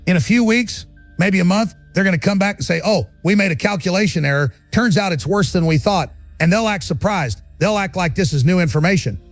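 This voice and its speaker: A man's voice: high voice, a little fast, no room sound, soft background music. A man playing a fiery, defiant conspiracy radio host, ranting in emphatic, indignant, punchy phrases for an audio drama.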